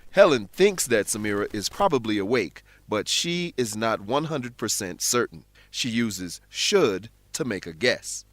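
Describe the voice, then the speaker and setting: Animated man teaching English. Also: lowish voice, unhurried, hardly any pauses, dry recording. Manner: didactic, clearly enunciated. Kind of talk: scripted educational narration.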